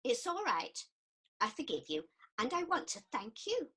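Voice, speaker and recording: A woman's voice: sits high, wildly swooping pitch, moderate pace, sharp tone, slightly rough, heavily acted. A woman playing an earnest, prim and easily offended counsellor in a radio comedy set in ancient Greece. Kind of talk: radio play acting.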